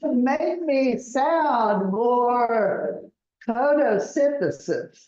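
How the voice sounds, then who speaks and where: Slow-talking Oklahoma woman teacher. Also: on the high side, lively intonation, mellow, echoey room, lo-fi sound. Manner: patient, repeats words for learners, laughs often. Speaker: English and citizenship teacher. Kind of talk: classroom language lesson. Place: classroom.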